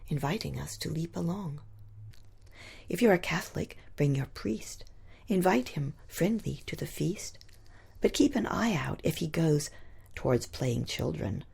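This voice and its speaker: Husky, low-voiced woman reciting poetry. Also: a little mellow. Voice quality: husky, breathy, creaky. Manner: measured, deliberate phrasing in short lines. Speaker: poet. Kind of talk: poetry recitation, rhymed verse.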